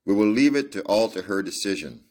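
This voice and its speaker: A low-voiced man plays a boastful young heir who brags about his wealth in a cocky storybook tone. The voice sits close to the reader's own deep one. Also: lively intonation, a little fast, darkish tone, lightly acted. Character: arrogant rich young male suitor.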